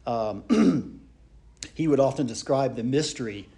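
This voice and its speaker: A man gives a relaxed church history lecture, with fillers, restarts and rhetorical questions. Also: mid-range voice, slow, vocal fry.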